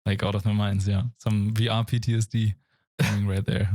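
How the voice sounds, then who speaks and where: A man's voice: on the low side, level tone, moderate pace, a little mellow, no echo, studio quality. A young German man with a German accent, co-hosting a casual games podcast in a thoughtful, searching way. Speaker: podcast co-host. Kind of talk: casual chat.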